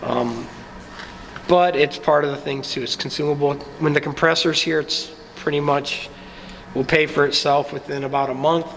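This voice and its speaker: A man's voice: fairly high pitch, steady tone, normal speed, vocal fry, fairly bright tone, long reverb tail, a little hiss or hum, poor audio. A man getting over a cold gives a casual, plain-spoken review of a machine in his workshop, with lots of ums. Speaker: small-business maker reviewing his laser cutter.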